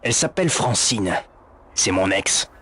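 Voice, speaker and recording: Evasive male café manager, played by a man for a game dub: sardonic, hesitant, highly animated intonation. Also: a little slow. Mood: uneasy, evasive. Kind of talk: video game fan dub acting. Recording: faint background noise.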